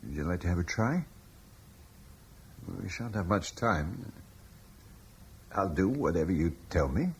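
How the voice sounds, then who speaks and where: An actor playing a humble, devoted soldier husband in a radio drama, plain-spoken and questioning in an understated way. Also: male voice, low voice, lively intonation, a little slow, long pauses, slight background noise. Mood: solemn, devoted.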